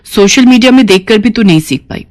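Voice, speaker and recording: A woman's voice: mid-range voice, expressive, brisk, darkish tone, close to an everyday voice. Lady doing a fed up scolding mom in a kids story, middle aged, naggy. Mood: exasperated scolding.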